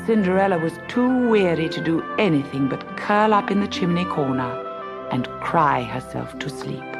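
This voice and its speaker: A woman storyteller giving an expressive scripted reading of a fairy tale. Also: deep voice, moderate pace, creaky, loud music behind the voice.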